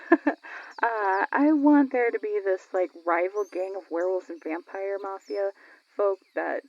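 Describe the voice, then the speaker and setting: A slightly muffled recording of a woman acting as a chatty young female writer in an audio roleplay. Her voice is husky and full of fry, and she talks casually with a playful streak. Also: medium pitch, darkish tone. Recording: dull, lo-fi sound.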